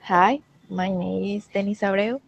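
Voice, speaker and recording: A woman of twenty-one, speaking slow, halting English. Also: medium pitch, steady tone, vocal fry, breathy edge. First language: Spanish. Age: 20s. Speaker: student participant. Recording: dull lo-fi voice call.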